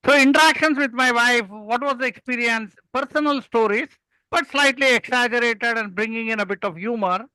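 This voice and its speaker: A man's voice: very high-pitched, normal speed, sharp tone, clear, resonant tone, dry recording, low-quality recording. An older man with an Indian accent, speaking as a mentor in an interview and telling anecdotes in an emphatic storytelling way. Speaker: public speaking mentor and guest.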